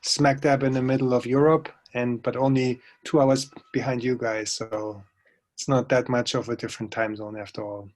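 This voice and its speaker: A man, the guest instructor, making relaxed, friendly small talk on a video call before an online class. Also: mid-range voice, moderate pace. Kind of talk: casual video-call chat.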